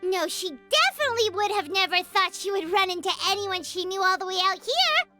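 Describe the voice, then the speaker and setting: A woman voicing a tiny, excitable fairy companion with a squeaky childlike voice in an English video game. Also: brisk, loud music behind the voice. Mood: teasing. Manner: speaks of herself by name in third person. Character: tiny excitable floating fairy companion girl, chirpy and food-loving.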